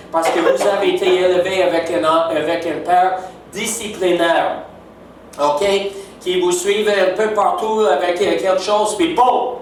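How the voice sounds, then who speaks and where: A man's voice: sits high, a little hiss or hum. An older man with an English Canadian accent, a native English speaker preaching a sermon in French, emphatic and often checking in with his listeners. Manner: warm, emphatic, keeps asking "n'est-ce pas?". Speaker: guest preacher. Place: church sanctuary. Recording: echoey church hall from far off.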